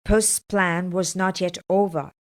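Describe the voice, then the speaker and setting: A woman's voice: on the low side, steady tone, unhurried. A woman narrating a children's fairytale from a script, as a storyteller.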